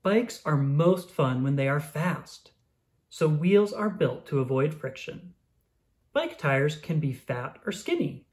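Expressive children's book narrator. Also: mid-range voice, slow. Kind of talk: scripted read-aloud of a children's science book.

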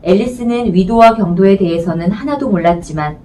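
A woman's voice: medium pitch, brisk, mellow. A woman narrating a children's storybook as an audiobook reader, in scripted narration. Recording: distant mic, faint background noise.

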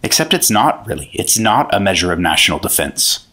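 A man's voice: low voice, very animated, a little fast, bright, forceful tone, breathy edge, exaggerated voice. An American man playing an earnest, aggrieved 1950s government agent in a radio drama. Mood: indignant, defensive.

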